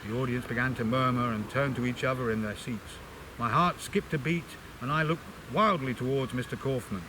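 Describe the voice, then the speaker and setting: An older man reads aloud in an expressive literary way, with measured, dramatic phrasing. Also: medium pitch, background noise. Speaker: amateur reader introducing and reading a book extract.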